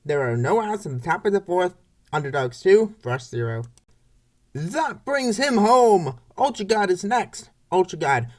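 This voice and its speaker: Baseball announcer, scripted play-by-play, clipped phrases, very animated pitch, mid-range voice. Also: measured pace. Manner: short clipped announcer phrases, repeated score calls. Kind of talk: scripted sports commentary for a comic dub.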